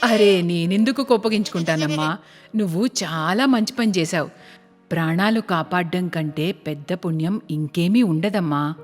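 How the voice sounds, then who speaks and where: A woman voicing a tender, compassionate village mother in a cartoon, gentle and emotional. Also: fairly low pitch, moderate pace, faint music behind, pitched below the performer's own voice, natural voice.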